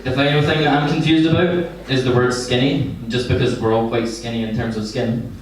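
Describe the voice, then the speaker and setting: A young man from Scotland with a Glaswegian accent, doing a stand-up set of deadpan one-liners. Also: mid-range voice, brisk. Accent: Glaswegian Scottish. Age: young adult. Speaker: stand-up comedian. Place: comedy venue before an audience. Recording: echoey live room, distant mic, noisy.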